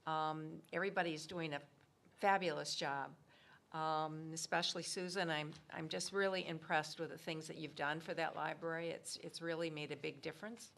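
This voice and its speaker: A woman with a notably low, gravelly voice gives a committee report at a council meeting. She speaks loudly and warmly praises the staff. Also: fairly even intonation, normal speed. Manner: loud, says so herself. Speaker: council member.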